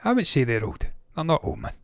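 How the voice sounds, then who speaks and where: A man's voice: a little high, very animated, fast talker, no room sound, clean studio sound. A man in his thirties hosting a movie news show, talking casually, with rambling opinionated asides. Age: 30s.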